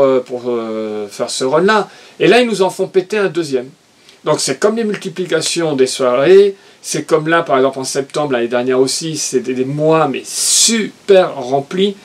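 Animated middle-aged man, higher voice. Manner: animated, opinionated, gestures while talking. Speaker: co-host, father. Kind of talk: casual chat. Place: room with shelves of toys and merchandise.

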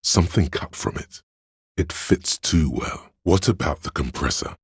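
An extremely deep, gravelly logical inner voice. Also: male voice, lively intonation, no room sound, studio quality, heavily acted. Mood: cool, analytical. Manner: measured, deliberate, analytical. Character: cool, dryly reasoning inner voice of a detective's logic. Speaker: voice actor. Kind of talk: scripted video game voice-over, inner-voice narration.

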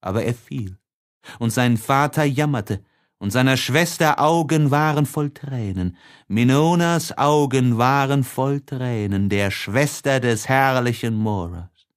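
A man's voice: mid-range voice, measured pace. A man narrating classic literature as an audiobook in a solemn, elegiac and declamatory way.

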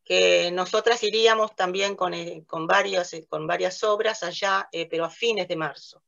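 A woman's voice: on the low side, fairly even intonation, normal speed, gravelly, a bit bright. Older woman, from Italy, casual interview guest, hesitant with fillers, video call, dull lo-fi sound. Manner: hesitant, many fillers and restarts, laughs often. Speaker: interview guest, theatre narrator and director. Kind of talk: casual interview, recounting memories. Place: small room with a plain light wall. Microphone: video call, webcam facing her.

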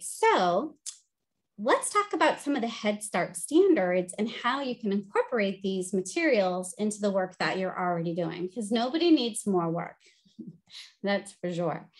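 High-pitched lady teaching a webinar, upbeat and chatty, lots of ums, laptop mic. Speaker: presenter and teacher. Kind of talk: instructional webinar presentation. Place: home office, video call.

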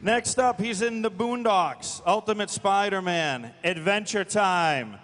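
A man hosting a live convention panel, announcing in a projected, energetic way from the stage. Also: sits high, takes it slowly. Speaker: panel host. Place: large convention hall stage. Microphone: handheld microphone. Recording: reverberant hall sound system.

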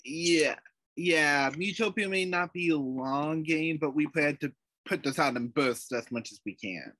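A man's voice: fairly high pitch, unhurried, bright, forceful tone, no room sound. A man casually explaining over a video call, stumbling over restarts as he goes. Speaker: let's play project co-organizer.

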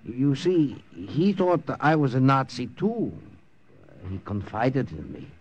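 An actor playing an earnest, deferential German man with a German accent, halting and humble, in 1940s radio style. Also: mid-range voice, sing-song. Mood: hesitant, humble. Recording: muffled, noisy old radio recording.

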